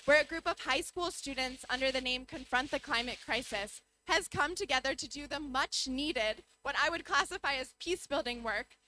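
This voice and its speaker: A young woman from the United States gives a prepared conference talk. Her voice sits high and sounds bright and crisp. She lays out her points one after another in a measured, clear way. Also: a little hiss or hum, poor audio. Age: young adult. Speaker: youth climate speaker. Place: conference pavilion.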